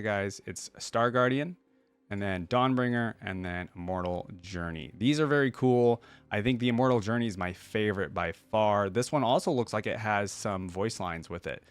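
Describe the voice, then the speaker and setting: A man's voice: medium pitch, smooth, no room sound. A man hosting a reaction video, giving casual, chatty commentary and thinking aloud in an informal way.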